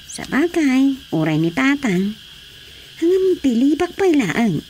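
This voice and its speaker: A high-pitched, inquisitive woman with an Ilocano accent. She talks in radio drama comedy style over faint background noise. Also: sing-song, slow pace, a little mellow, exaggerated voice, poor audio. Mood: chatty.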